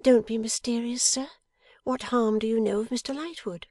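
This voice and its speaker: A woman's voice: a little high, steady tone, normal speed, a little mellow, no room sound, voice pushed up, close to an everyday voice. A woman voicing a pouting, affectionate young wife in a storybook, coaxing and emphatic. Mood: teasing. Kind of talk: dramatised audiobook character.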